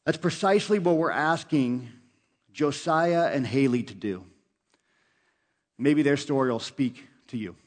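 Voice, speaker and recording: A man's voice: mid-range voice, unhurried, long pauses. Guy pastor preaching, earnest, keeps repeating lines to push the point, normal mid voice. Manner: earnest, urging, repeats phrases for emphasis. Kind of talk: sermon.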